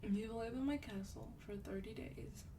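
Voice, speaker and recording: A woman playing a sweet, wealthy vampire in a soft-spoken ASMR roleplay, breathy and often near a whisper, gently coaxing with a slightly haughty air. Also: a little high, moderate pace, far from the mic, background noise, natural voice.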